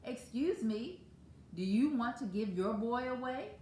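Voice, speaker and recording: A woman's voice: on the high side, big pitch swings, unhurried, mellow, reverberant, a little hiss or hum, voice pushed up. A woman voicing a small, eager, polite puppy in a children's storybook reading. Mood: polite pleading. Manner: polite, wishful.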